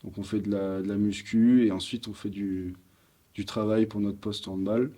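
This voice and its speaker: A man's voice: lowish voice, little pitch movement, moderate pace, warm tone. A young man in his late teens from Picardy, a student athlete answering interview questions hesitantly. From Picardy, France. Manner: hesitant, many fillers. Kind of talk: documentary interview.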